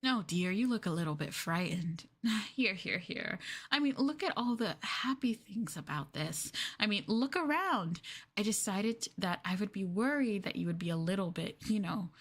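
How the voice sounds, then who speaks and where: A woman voicing a sweetly possessive, obsessive young girlfriend who holds her lover captive, in a soft, cooing, hesitant ASMR roleplay delivery. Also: mid-range voice, animated, normal speed, slightly rough. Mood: dreamy, adoring. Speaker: streamer roleplaying for viewers.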